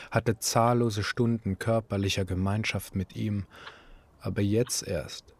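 Ein Schauspieler als ernster Erzähler eines psychologischen Hörspiels, der literarisch und gemessen spricht. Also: male voice, fairly low pitch. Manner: measured, literary, serious. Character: grave male narrator of a psychological radio drama. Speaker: narrator. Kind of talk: literary radio drama narration. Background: music.

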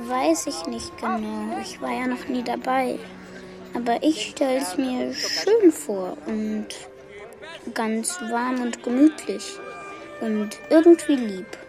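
A woman's voice: on the high side, takes it slowly, music in the background, low-quality recording. A woman playing a dreamy, wistful little orphan boy in a children's radio play. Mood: wistful, hopeful.

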